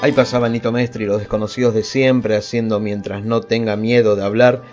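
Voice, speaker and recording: A man's voice: fairly low pitch, level tone, fairly quick, darkish tone. A man with an Argentine Spanish accent hosting a radio programme, introducing songs and archive interviews. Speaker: radio host. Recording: dull lo-fi sound with faint music.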